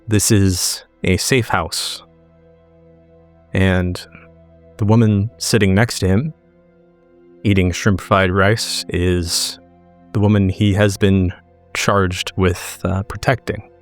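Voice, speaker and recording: A man narrating his character's scene in a tabletop game, measured and deliberate, phrase by phrase. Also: low-pitched, steady tone, faint music behind. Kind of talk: slow, descriptive story narration.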